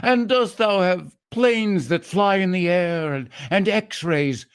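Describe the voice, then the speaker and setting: A man's voice: sits high, clear, resonant tone. A man playing a pompous, inquisitive medieval king in 1940s radio comedy style, with grandiose archaic phrasing. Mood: grandly inquisitive. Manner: grandiose, drawn-out archaic speech.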